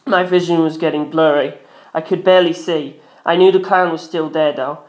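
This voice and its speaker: A man's voice: fairly high pitch, steady tone, moderate pace. A man reading a first-person story aloud as a dramatic narrator. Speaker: audiobook-style narrator. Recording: roomy echo.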